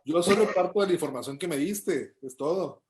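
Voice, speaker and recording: A man's voice: a little high, fairly quick, a little mellow. A man hosting a casual interview, prompting his guest and asking follow-up questions. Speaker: host.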